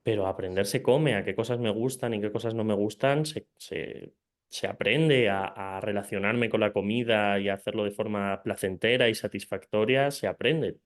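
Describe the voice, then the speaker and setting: A man's voice: on the low side, normal speed. A man hosting an interview, asking long questions in a hesitant way, searching for words. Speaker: interview host.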